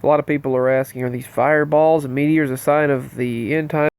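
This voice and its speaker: A man's voice: medium pitch, fairly even intonation, a little fast, a little mellow, clear, resonant tone, no echo. A man hosting his channel, with a stuffy nose from allergies, running loosely and earnestly through a list of strange news in a casual monologue. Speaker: channel host.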